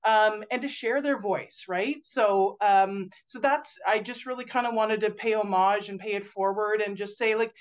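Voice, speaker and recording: A woman in her forties, a guest on a video call interview, talking loudly and animatedly with lots of fillers and restarts. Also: mid-range voice, normal speed, few pauses, lo-fi sound. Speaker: guest author. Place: home room indoors. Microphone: video call webcam.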